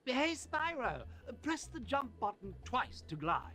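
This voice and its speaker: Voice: high voice, very animated, unhurried, crowd noise. An actor voicing a small, eager helper creature in a cartoonish fantasy video game. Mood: eager, teasing. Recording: game audio with music.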